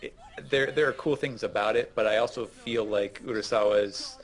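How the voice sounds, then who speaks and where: A man chatting casually about manga as a podcast co-host, in a hesitant, halting way. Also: lowish voice, fairly even intonation, poor audio.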